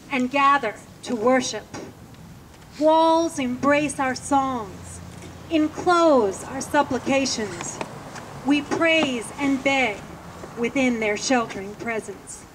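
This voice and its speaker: A woman's voice: sits high, takes it slowly, low-quality recording. A woman giving a solemn poetry reading at an outdoor ceremony. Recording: faint outdoor background noise.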